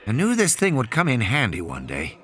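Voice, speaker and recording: A man's voice: medium pitch, huge pitch swings, fairly quick, a bit bright, slight background noise. A man voicing an anxious, blunt and slightly fussy male companion in audiobook dialogue. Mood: curious.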